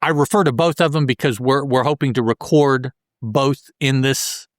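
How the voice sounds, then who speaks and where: A man co-hosting a podcast, casually discussing a comic book story and thinking aloud in a halting way. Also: medium pitch, steady tone, dry recording, clean studio sound. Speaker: podcast co-host.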